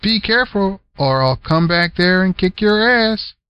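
A man voicing a grumpy, balding older uncle who snaps and teases in a gruff manner, in a storybook reading. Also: high voice, lively intonation, a little slow, sharp tone, no room sound, muffled recording, pitched above the performer's own voice, heavily acted. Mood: gruff, scolding.

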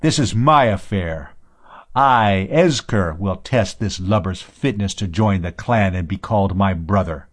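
A man's voice: sits low, unhurried. A man voicing a tough, belligerent sailor with a blustering, challenging manner in an audiobook. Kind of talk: audiobook character voice.

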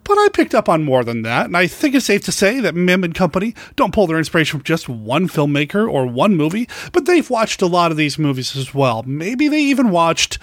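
A man's voice: a little high, big pitch swings, a little fast, few pauses, dry recording, clean studio sound. A man presenting a scripted movie recommendation as a podcaster, in a polished and enthusiastic manner. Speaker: podcast producer and guest segment presenter.

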